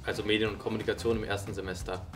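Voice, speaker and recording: A man in his twenties, a contestant on a dating show, chatting in a relaxed way. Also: lowish voice, rapid-fire. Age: 20s. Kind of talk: casual chat. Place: studio.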